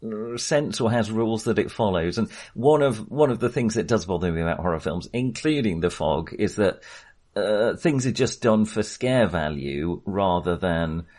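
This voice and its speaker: A man with a fairly low voice speaks as a host in a relaxed discussion of film. He wanders through his thoughts, hedging and qualifying them as he goes. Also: moderate pace.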